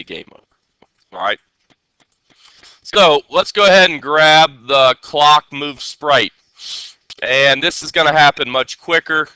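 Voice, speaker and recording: A man's voice: medium pitch, sharp tone, clean tone, dry recording. A man teaching a live coding class, congested and nasal with a head cold, often checking in with his students.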